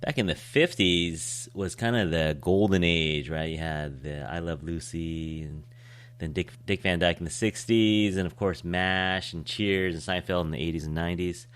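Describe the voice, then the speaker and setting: A man hosting a podcast in a casual, teasing, animated way. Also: sits low, normal speed, clear, resonant tone. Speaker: podcast host.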